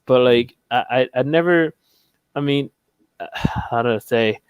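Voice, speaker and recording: A man's voice: mid-range voice, measured pace, clear, resonant tone, no room sound. A young man from Philadelphia, a panel guest in casual chat on a video call from home, rambling and losing his train of thought. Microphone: headset.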